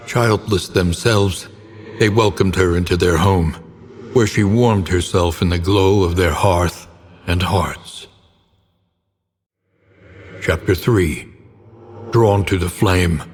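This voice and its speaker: An actor playing a grave, brooding older narrator of gothic horror tales in a video game, with solemn, theatrical and deliberate phrasing. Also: male voice, low voice. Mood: grave, ominous. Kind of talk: dramatic scripted storytelling narration. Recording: long reverb, clear background noise.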